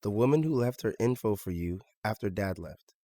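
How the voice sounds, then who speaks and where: A man's voice: fairly low pitch, lively intonation, moderate pace, warm tone, dry recording, clean studio sound, lightly acted. A man playing an earnest, stubborn seventeen-year-old son in a naturalistic audio drama, polite but insistent. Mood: earnest, confiding.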